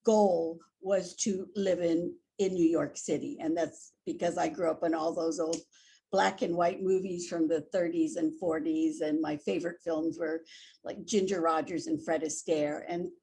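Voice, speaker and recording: An older woman from Hawaii, a guest in an interview, telling stories in an animated way and laughing easily. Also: on the low side, normal speed, a little hiss or hum. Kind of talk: casual interview.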